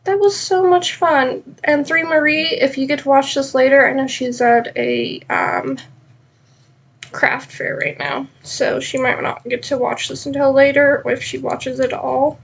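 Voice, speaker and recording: A woman's voice: sits high, lively intonation, unhurried. A woman with a stuffed-up nose chatting casually and rambling on a live knitting stream, with many ums.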